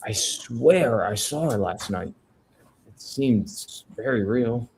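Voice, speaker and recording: A man's voice: mid-range voice, animated, slow, creaky, a little mellow, slight background noise. A man reading the part of an anxious, unwell newsman from a crime family at a film script table read. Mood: uneasy.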